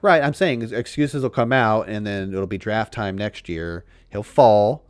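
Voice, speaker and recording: A man's voice: on the low side, a little slow, no echo. A man hosting a casual fantasy football livestream, thinking aloud with frequent restarts. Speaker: fantasy football show host.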